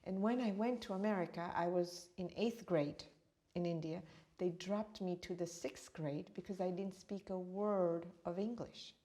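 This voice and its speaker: A woman's voice: fairly low pitch, moderate pace, few pauses, dark tone. Earnest middle-aged woman, Swahili native. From Uganda. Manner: earnest, flowing, repeats phrases for emphasis. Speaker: meditation teacher and author. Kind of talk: interview conversation.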